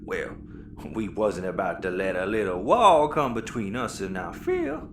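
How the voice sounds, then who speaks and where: An actor playing a slyly menacing, folksy space cannibal with a Southern US accent in an audio comedy. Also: male voice, lowish voice, big pitch swings, unhurried, gravelly, fairly bright tone, heavily acted. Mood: grim reminiscing. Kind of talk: sci-fi audio comedy acting. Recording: roomy, noisy ambience.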